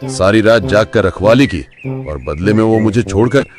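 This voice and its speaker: A man's voice: on the low side, animated, normal speed, close to an everyday voice. A man voicing a gullible, resentful wolf servant in a cartoon, earnest and dramatic. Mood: indignant. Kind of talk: Hindi cartoon voice acting. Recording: background music behind the voice.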